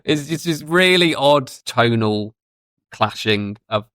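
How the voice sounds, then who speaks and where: A man's voice: medium pitch, animated, slow pace, a bit bright, dry recording, studio quality. A man in his thirties chatting casually and giving his opinions as a podcast guest. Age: 30s.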